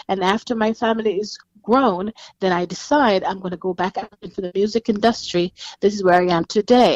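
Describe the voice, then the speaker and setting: A woman's voice: mid-range voice, dry recording. Female interview guest, Jamaican accent. Conversational, recorded dry and slightly lo-fi.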